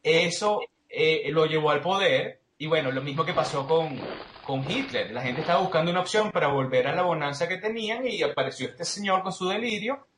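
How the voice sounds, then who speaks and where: Higher-voiced Venezuelan man in an echoey room. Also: lively intonation, a little fast, fairly bright tone, slight background noise. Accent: Venezuelan Spanish. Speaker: interview guest, political commentator. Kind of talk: analytical interview answers.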